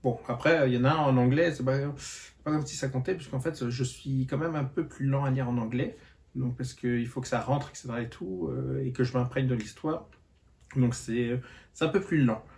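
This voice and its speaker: A tired man giving a relaxed reading update to his viewers, with a voice of ordinary pitch. He talks hesitantly, pausing often on fillers and restarting his sentences. The whole talk has a loose, casual vlog feel. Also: vocal fry. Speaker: book vlogger.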